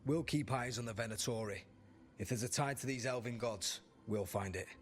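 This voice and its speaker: An actor playing a sardonic, wary underground rebel in a video game, speaking drily and bluntly. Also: male voice, medium pitch, normal speed, lightly acted. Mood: wary, businesslike. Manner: dry, blunt. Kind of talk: video game cutscene acting.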